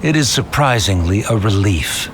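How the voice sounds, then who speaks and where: A man's voice: on the low side, animated, moderate pace, background noise, close to an everyday voice. A man playing a haughty, stern father in a video game, with a formal, disdainful manner. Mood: grudging, disdainful.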